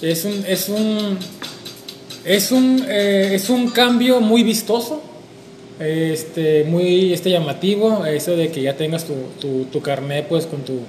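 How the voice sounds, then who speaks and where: A man's voice: high voice, takes it slowly, a little mellow. A man co-hosting a casual panel discussion, hesitant and searching for words, with dry jokes. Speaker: co-host. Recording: echoey room with music behind.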